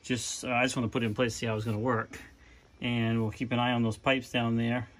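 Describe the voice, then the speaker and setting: A man's voice: mid-range voice, flat delivery, brisk, fairly bright tone. Casual middle-aged man thinking aloud. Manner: loose, searching for words, thinking aloud. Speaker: vlogger converting a school bus. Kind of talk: casual vlog walkthrough. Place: inside a parked school bus, then driving it. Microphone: handheld phone camera. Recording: faint engine noise in a bus. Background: faint bus engine and outdoor ambience.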